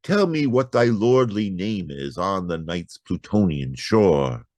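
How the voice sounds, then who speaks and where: A man with a very low voice reads poetry at an unhurried, deliberate pace. His delivery is theatrical, leaping to loud cries and dropping to hushed whispers. The sound is dry and close to the mic. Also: animated, no echo. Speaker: poetry reader. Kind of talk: dramatic poetry reading.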